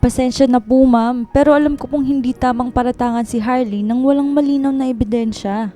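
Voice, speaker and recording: A woman's voice: high voice, fairly even intonation, brisk, mellow, faint music behind, natural voice. A woman playing a loyal, protective coworker, supportive and reassuring, with a Filipino accent in a radio drama. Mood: politely firm. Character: loyal, protective office friend, caring and principled.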